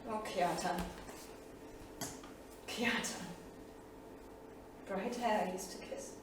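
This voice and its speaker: An actress playing a solemn, proud tragic heroine of an Icelandic saga, declaiming deliberately in a staged reading. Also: female voice, medium pitch, lightly acted. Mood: solemn, mournful. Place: small theatre studio, indoors. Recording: echoey theatre studio, distant, clear noise.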